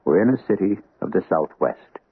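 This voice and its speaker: A man hosting a radio mystery show, narrating in a solemn, measured, theatrical style. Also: deep voice, expressive. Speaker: host and narrator. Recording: tinny old radio broadcast with music.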